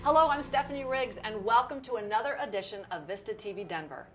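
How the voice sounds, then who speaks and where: A woman's voice: a little high, fast, faint music behind. A woman hosting a TV interview, asking upbeat questions. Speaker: TV interview host.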